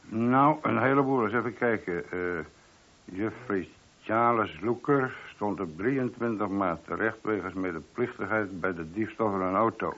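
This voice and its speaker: An actor playing a chatty, deferential but opinionated middle-aged police sergeant in a radio play. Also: male voice, lowish voice, moderate pace, fairly bright tone. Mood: chatty, reporting. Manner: talkative, colloquial, deferential. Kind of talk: radio drama acting. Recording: dull, lo-fi old radio recording.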